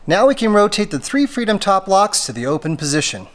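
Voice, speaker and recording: A man's voice: sits high, big pitch swings, a little fast. A man presenting a hands-on installation tutorial, explaining things methodically step by step. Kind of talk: step-by-step how-to instruction.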